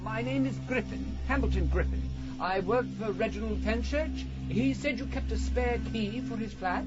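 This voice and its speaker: An actor playing a nervous, deferential employee in a radio drama, polite and hesitant. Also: male voice, high voice, moderate pace, dull audio, loud music behind the voice, lightly acted. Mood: polite, eager. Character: deferential, nervous male employee eager to please.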